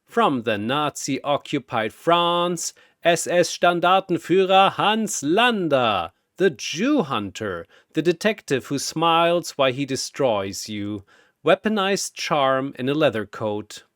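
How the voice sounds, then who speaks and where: A man reading scripted fantasy battle narration in a theatrical, deliberate manner, like an arena announcer. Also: mid-range voice, expressive, fairly bright tone, no echo, clean studio sound.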